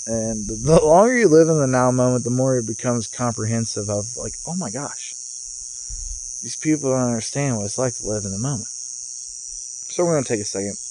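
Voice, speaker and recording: Guy doing a loose spiritual ramble outdoors, musing with pauses, mid pitch, bugs chirping behind him. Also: lively intonation, dark tone, a little hiss or hum, low-quality recording. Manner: casual, musing, phrases broken by pauses. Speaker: vlogger and spiritual guide. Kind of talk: rambling off-the-cuff spiritual monologue. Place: outdoors, sitting in a rocking chair. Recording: outdoor recording with chirping insects. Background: cicadas or crickets.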